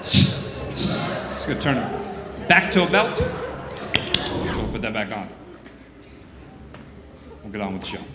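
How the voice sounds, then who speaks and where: A man's voice: lowish voice, sing-song, slow, pauses often. A man in his thirties, a stage hypnotist, bantering playfully with a volunteer on a theater stage through a handheld mic. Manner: playful, teasing, stammers in mock panic. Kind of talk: live stage show banter. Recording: echoey hall, loud crowd noise.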